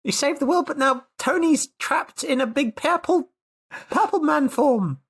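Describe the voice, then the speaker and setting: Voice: sits high, measured pace, pitched above the performer's own voice. A tabletop player voicing an anxious young sidekick, fretful and scolding, repeating words in exasperation. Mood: exasperated.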